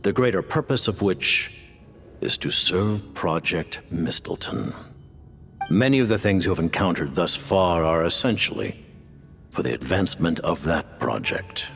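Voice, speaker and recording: A man voicing a stern, formal high-ranking commander who speaks in measured, grave tones in a game. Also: lively intonation, vocal fry, a bit bright, full character voice. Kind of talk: video game voice acting. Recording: game audio with music.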